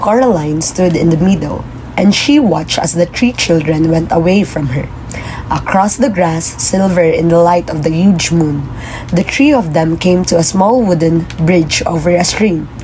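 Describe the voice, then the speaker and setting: A woman's voice: fairly low pitch, dark tone, a little hiss or hum, rough recording. A woman narrating a scripted puppet show story.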